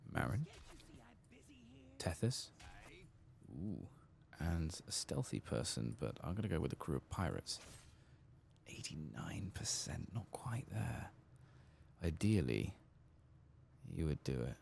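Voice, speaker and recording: Deep, creaky, soft-spoken ASMR gamer man. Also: sing-song, slow, long pauses, slight background noise. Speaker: gaming channel host. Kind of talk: soft-spoken, partly whispered ASMR game commentary.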